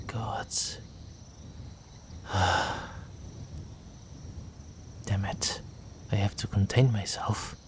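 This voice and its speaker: Low, slow, seductive vampire gentleman, acted by a man. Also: slight background noise. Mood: hungry, breathless. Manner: seductive, courtly, teasing, with breathy murmurs. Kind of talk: intimate roleplay audio drama.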